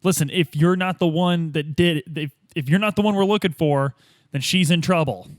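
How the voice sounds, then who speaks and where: Man acting out earnest amnesiac male detective, higher pitch, pleading, persistent, informal, dry close sound. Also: brisk, dry recording, lightly acted.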